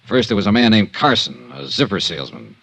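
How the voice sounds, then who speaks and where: A man's voice: on the low side, very animated, brisk, gravelly, a bit bright, exaggerated voice. A man playing a tough, wry American insurance investigator in a 1950s radio serial, clipped and matter-of-fact. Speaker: actor narrating and starring in a radio detective serial. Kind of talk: 1950s hardboiled radio acting.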